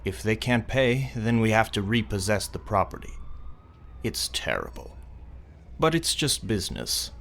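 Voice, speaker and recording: Man acting haughty low-voiced businessman, lively delivery. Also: a little slow, gravelly, heavily acted. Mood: self-justifying. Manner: haughty, defensive, self-justifying. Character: arrogant, indignant male wealthy businessman, slippery landlord. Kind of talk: audio drama acting.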